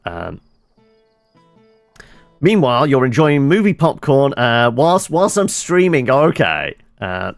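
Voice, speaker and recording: A man's voice: medium pitch, very animated, takes it slowly, creaky, pauses often, no room sound, soft background music. A man streaming a game with casual, wry commentary and rambling asides to his viewers. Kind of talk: casual live playthrough commentary, chatting with viewers.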